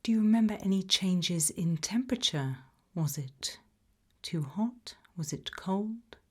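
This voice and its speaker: A British woman doing an ASMR roleplay interview, asking gentle questions in a soft, breathy whisper. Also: medium pitch, a little slow. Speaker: ASMR roleplay creator playing an investigator.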